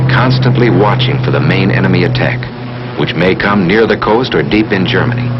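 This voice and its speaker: A man with a fairly low voice narrates in the manner of a 1940s war documentary. His delivery is scripted, solemn and dramatic. The old film soundtrack is dull, with heavy noise and aircraft droning behind him. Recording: noisy vintage film soundtrack, aircraft engine drone.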